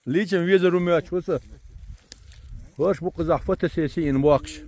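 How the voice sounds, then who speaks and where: High-voiced gravelly man chatting outdoors. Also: a little fast, a little mellow. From Chechnya. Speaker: hiker filming a trip. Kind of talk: casual chat among companions on a hike. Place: outdoors in a mountain gorge.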